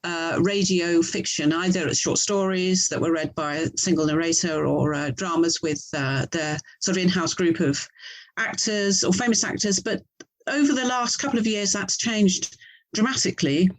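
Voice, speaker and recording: A woman's voice: on the low side, normal speed, rough recording. English woman as an interview guest. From England. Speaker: interview guest, writer and audio producer. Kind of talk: casual interview explanation.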